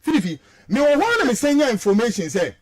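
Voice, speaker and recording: Male Ghanaian radio commentator, very high-pitched and widely swinging delivery, combative and indignant, hammering points through repetition. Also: wildly swooping pitch, fast talker. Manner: combative, emphatic, indignant, repeats words for emphasis. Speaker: radio show guest commentator. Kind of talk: heated political commentary mixing English and Twi.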